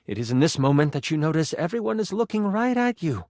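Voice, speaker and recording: A playful living die running a tabletop adventure in a video game. His voice sits a little high and moves widely in pitch as he tells the story with theatrical drama and eager asides. The sound is dry and studio-clean. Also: very animated, fast, a little mellow, no room sound. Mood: dramatic, suspenseful. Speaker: game master.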